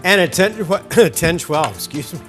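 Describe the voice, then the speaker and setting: Hoarse, animated male news anchor. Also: a little high, measured pace, over music. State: hoarse, losing his voice. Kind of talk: newscast reading.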